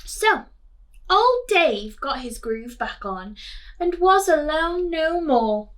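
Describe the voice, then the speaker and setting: A woman teacher reading a children's storybook aloud in an expressive, sing-song way, putting coaxing questions to young listeners. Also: high voice, slow pace.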